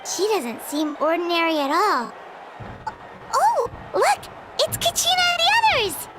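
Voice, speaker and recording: Very high, squeaky, excitable little fairy girl. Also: unhurried, background noise, heavily acted. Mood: curious, excitable. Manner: chatty, excitable, naive. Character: tiny excitable childlike floating fairy companion girl. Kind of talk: game voice acting.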